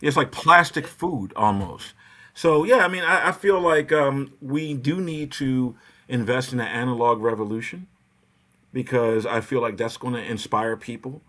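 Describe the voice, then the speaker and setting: A man with a mid-pitched voice co-hosts a casual podcast. He speaks in an impassioned, opinionated rant, loosely phrased and conversational. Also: a bit bright. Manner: impassioned, ranting, peppered with "you know". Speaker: podcast host.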